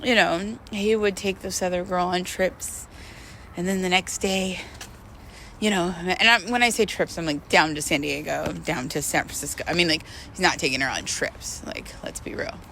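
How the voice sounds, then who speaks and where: Candid woman confiding over clear background noise. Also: fairly low pitch, sharp tone. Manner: candid, halting, repeats words for emphasis. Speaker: vlogger. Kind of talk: casual confessional monologue.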